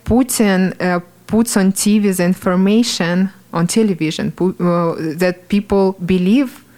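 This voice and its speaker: A woman from Ukraine with a Ukrainian accent, an art teacher answering interview questions earnestly and haltingly. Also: medium pitch, warm tone. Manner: earnest, halting, many fillers and restarts. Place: podcast studio.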